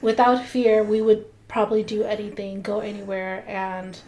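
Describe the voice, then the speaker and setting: A young woman co-hosting a laid-back podcast, her voice a bit hoarse from a lingering cold. She explains ideas in measured, even steps, at an ordinary pitch for a woman. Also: poor audio. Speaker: podcast co-host. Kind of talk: casual podcast chat.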